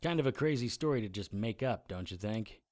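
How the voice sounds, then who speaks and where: A man acting a brisk, commanding occult adventurer in an audio drama, instructive and matter-of-fact. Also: fairly low pitch, lively intonation, close to an everyday voice. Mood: grave, explaining. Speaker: lead actor and narrator. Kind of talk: audio drama acting and first-person narration.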